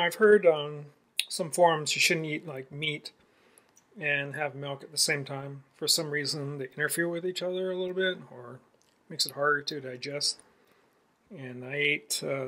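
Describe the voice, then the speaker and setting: A man's voice: fairly high pitch, bright, forceful tone. A man in his forties vlogging casually into a phone held at arm's length, musing aloud and trailing off with fillers. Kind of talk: casual travel vlog. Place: outdoors in snowy town, then in a truck, then indoors at a table. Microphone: handheld phone at arm's length.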